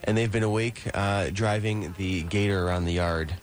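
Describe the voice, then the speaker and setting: Slightly low-voiced male radio host. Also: steady tone, moderate pace. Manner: friendly, many fillers and restarts. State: losing his voice. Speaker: radio show host, real estate broker. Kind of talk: casual radio chat. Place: radio studio.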